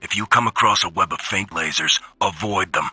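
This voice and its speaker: A sardonic robot soldier from a video game. The voice is sharp and raspy, gruff and full of wry complaint, recorded very dry and close. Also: male voice, deep voice, normal speed, no room sound, exaggerated voice. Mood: wry reporting. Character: grumbling, sardonic male robot soldier giving war reports. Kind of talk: video game audio log acting.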